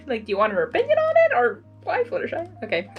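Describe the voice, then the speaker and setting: A woman reading a story expressively and breaking into casual, chatty, excitable reactions. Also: very high voice, brisk, muffled recording, soft background music. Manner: excitable, giggly asides. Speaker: fan fiction reader and reactor.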